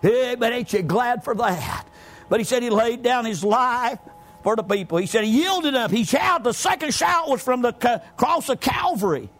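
A man with a Southern US accent preaching a fervent evangelical sermon, exclamatory and often rising toward a shout, punctuating his points with amens. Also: very high-pitched, lively intonation, normal speed.

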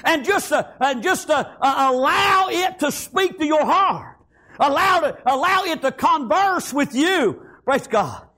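A man preaching a sermon, his voice riding very high and swinging widely in pitch. He shouts in rhythmic bursts, punctuating nearly every phrase with "praise God" and "amen.". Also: lively intonation, unhurried.

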